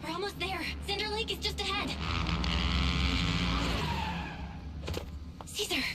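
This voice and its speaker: A woman's voice: very high voice, fast, heavy background noise. A woman voicing an earnest young female companion in an anime-style action game, speaking with urgency. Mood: urgent, worried. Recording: game audio under loud music.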